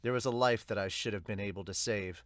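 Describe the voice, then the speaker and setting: A man's voice: lowish voice, quick pace, fairly bright tone, no echo, studio quality, voice pushed down, lightly acted. A man voicing a humble, solemn superhero giving an earnest, subdued speech in an amateur manga dub.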